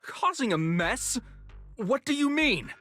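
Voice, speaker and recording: A man's voice: very high voice, very animated, slow pace, bright, forceful tone, soft background music, exaggerated voice. A man playing a self-important, clueless, whiny official in an anime-style video game. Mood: indignant, anxious.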